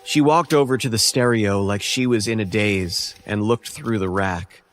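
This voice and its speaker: A man's voice: fairly low pitch, normal speed. A man narrating a scripted first-person horror story as its narrator. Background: faint music.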